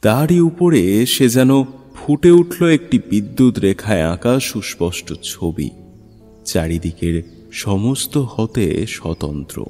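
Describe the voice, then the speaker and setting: Male narrator, dramatic literary delivery, mellow tone, animated intonation, reverberant, background music. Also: lowish voice. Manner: measured, expressive. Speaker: story narrator. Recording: long studio reverb, music underneath.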